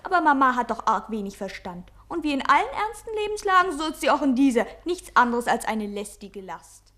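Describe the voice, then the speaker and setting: An actress playing a pert, critical daughter of a respectable household in a radio play. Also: female voice, high-pitched, sing-song, a little fast, bright, forceful tone, exaggerated voice. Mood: pert, disapproving.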